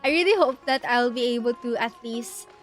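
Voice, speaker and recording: A woman with a fairly high voice chats casually through a live video game stream. She thinks aloud in an easygoing, giggly way. Music plays behind her voice. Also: a little fast.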